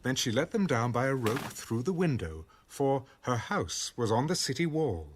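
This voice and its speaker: A man's voice: medium pitch, very animated, moderate pace, natural voice. A man narrating a dramatized Bible as a scripted storyteller. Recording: background music under the voice.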